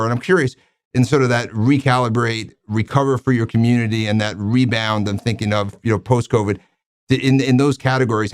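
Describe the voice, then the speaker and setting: A man hosting an interview, asking questions in a halting way with repeated words and many fillers. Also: lowish voice, no echo, studio quality. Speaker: interview host. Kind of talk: conversational interview.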